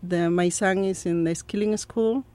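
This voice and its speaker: A woman from Peru in her thirties with a Peruvian Spanish accent, a guest in an interview, speaking halting English with many fillers. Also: fairly low pitch, steady tone, warm tone. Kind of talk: interview conversation.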